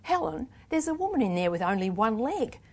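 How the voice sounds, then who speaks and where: An ailing, affected woman as a woman reader plays her in a storybook reading, pitched high and girlish. She is tuneful and patronising, placating on the surface and stubbornly in denial underneath. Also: very animated, a little fast, a little mellow. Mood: defensive, wounded. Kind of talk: dramatic book reading.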